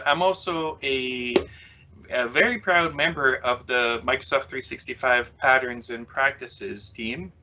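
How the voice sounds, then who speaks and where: A man's voice: mid-range voice, sharp tone. Chatty French Canadian man presenting online. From Quebec City, Canada. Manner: chatty, many "uh" fillers, self-mocking humor. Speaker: conference speaker, developer consultant. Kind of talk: self-deprecating tech presentation. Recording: slightly dull lo-fi webcast audio.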